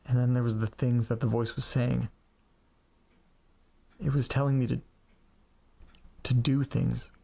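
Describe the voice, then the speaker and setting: A male actor plays a quirky, scatterbrained man in an audio drama. His voice is mid-pitched with a noticeable gravelly creak. He talks casually and haltingly, like an ordinary guy at home. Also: flat delivery, warm tone. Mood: grave, haunted. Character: quirky, scatterbrained man living with pets and old letters. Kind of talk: audio drama acting, first-person narration and casual dialogue.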